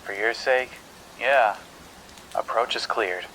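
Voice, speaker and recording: A man's voice: slow, sharp tone, poor audio. A man playing a laconic radio operator at a containment site, clipped and procedural, heard through a radio. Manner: clipped, low-key procedural. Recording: radio voice with faint noise.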